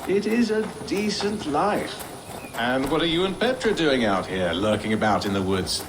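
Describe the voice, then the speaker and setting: A whimsical spirit elk speaks in a slightly high, very animated voice. The guardian is breezy, teasing and a little uncanny, toying with rhetorical questions in a sing-song way. It is video game acting, with clear ambient noise around it. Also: male voice, normal speed. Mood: carefree, teasing.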